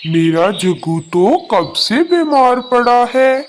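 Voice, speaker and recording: A woman's voice: fairly high pitch, big pitch swings, slow. A woman voicing a concerned, friendly crow parent in a children's cartoon, exclaiming expressively. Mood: doubtful, curious. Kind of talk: children's cartoon moral story. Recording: noisy, birdsong behind.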